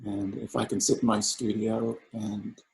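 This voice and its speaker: A man who grew up speaking Samoan, an artist answering panel questions in a halting way with many fillers and restarts. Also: fairly low pitch, little pitch movement, creaky, rough recording.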